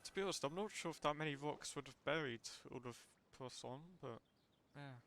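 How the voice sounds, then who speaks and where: A man's voice: a little high, brisk, a bit bright, slight background noise. A man streaming a video game, giving casual live commentary. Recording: game music clearly behind voice. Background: video game music.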